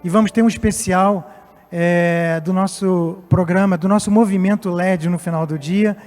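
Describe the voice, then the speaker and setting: A man's voice: high-pitched, fairly even intonation, moderate pace, a little mellow. A man in his late fifties from Portugal, an executive giving a semi-formal, somewhat hesitant speech on stage in a reverberant hall with music behind. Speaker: company executive, guest speaker. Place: stage at a live event.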